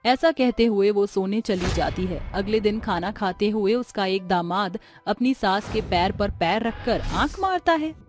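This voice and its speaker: A woman narrates a scripted family story. Her voice sits at a medium pitch, and music plays softly behind her. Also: expressive, a little slow, few pauses.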